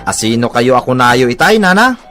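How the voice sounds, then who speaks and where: A man's voice: medium pitch, very animated, a little fast, pushed and bright, soft background music. A man acting a joking, nosy young man in a comedic radio drama, with an Ilocano accent. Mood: puzzled, nosy.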